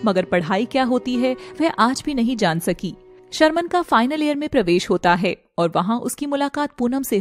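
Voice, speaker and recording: A woman with an Indian accent narrating a scripted story. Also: high voice.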